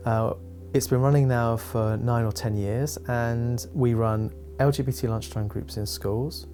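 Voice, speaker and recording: A man's voice: medium pitch, fairly even intonation, measured pace, dark tone, soft background music. A man who works with a youth group, explaining its sessions and activities in an informative way. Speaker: charity youth worker. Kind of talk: explanatory talk.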